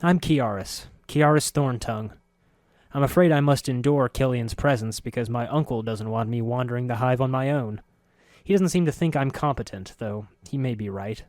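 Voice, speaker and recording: A man reading a story voices a curious, sharp-spoken middle-aged woman who talks in a quick, insistent way. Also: medium pitch, no room sound, studio quality, close to an everyday voice. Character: curious sharp-spoken woman, neither young nor old, newcomer.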